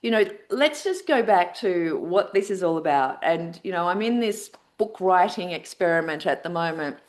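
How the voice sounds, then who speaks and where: A woman's voice: on the low side, lively intonation, normal speed. A woman from Australia with an Australian accent hosting an interview, effusive and full of fillers and restarts. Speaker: podcast host.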